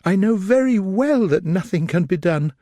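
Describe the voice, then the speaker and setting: A man reading aloud, voicing a distraught young gentlewoman with an urgent, pleading, high and breaking voice. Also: lively intonation, moderate pace, dry recording. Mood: anguished.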